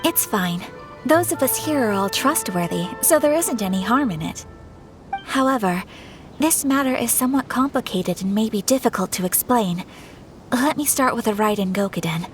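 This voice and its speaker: A courteous young noblewoman played by an actress speaks in a slightly high voice, formal and measured. It is polished video game dialogue with music underneath. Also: lively intonation, natural voice. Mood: polite.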